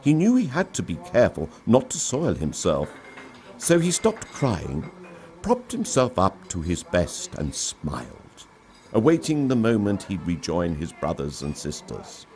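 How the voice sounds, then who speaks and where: A man narrates a storybook tale in a low voice. His delivery is slow and theatrical, with very dramatic swings of pitch that give the narration an expressive, performed feel. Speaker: story reader.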